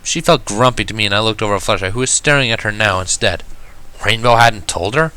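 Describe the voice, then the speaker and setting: A man giving an expressive amateur narration of a fan fiction story. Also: on the low side, quick pace, smooth, rough recording.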